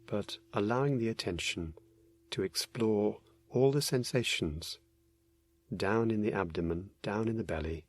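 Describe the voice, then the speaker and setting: A man narrating a guided meditation, giving gentle, unhurried instructions with many pauses. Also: lowish voice, dry recording.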